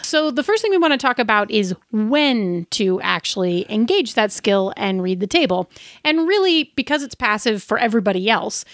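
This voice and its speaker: A woman's voice: medium pitch, no room sound, clean studio sound. A woman co-hosting a podcast, giving advice in a casual, chatty, conversational way. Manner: informal, chatty. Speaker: podcast co-host.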